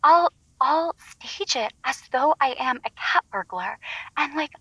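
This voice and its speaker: High, bright, giddy young woman played by an actress. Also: expressive, a little slow, no echo, dull audio, full character voice. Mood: giddy, gushing. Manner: gushing, breathy valley-girl phrasing with many likes. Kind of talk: improvised comedy radio-show acting.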